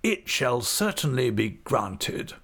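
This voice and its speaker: Man putting on a pompous official, high and preening, kinda distant. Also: very animated, takes it slowly, fairly bright tone, slightly rough, heavily acted. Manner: pompous and approving. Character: pompous middle-aged male prison official, self-satisfied.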